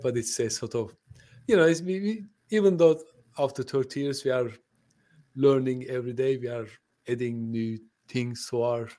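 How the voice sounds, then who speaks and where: A man's voice: medium pitch, darkish tone. A man born in Turkey, a native Turkish speaker, talking as a podcast guest in a friendly, hesitant way, with many fillers and restarts. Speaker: podcast guest, translation company owner. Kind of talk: conversational interview. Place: lives in the UK.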